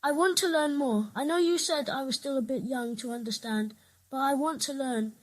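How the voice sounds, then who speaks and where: A woman's voice: sits high, moderate pace, close to an everyday voice, poor audio. A woman voicing an earnest, polite, curious young boy wizard in a fan comic dub. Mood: eager, earnest. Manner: earnest, simple, questioning. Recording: music under the voice.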